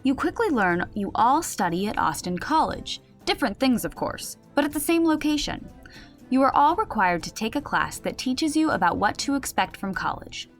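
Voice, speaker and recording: A woman's voice: on the high side, sing-song, normal speed, soft background music. A woman playing a wry game master who explains and narrates a comedic tabletop game in an audio drama. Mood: narrating.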